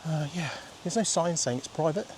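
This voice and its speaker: A man in his fifties, throaty and husky from a cold, chatting casually and rambling with easy laughs as he vlogs on a rainy countryside walk. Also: fairly high pitch, moderate pace, a little mellow, slight background noise, rough recording. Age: 50s. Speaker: vlogger. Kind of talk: casual walking vlog.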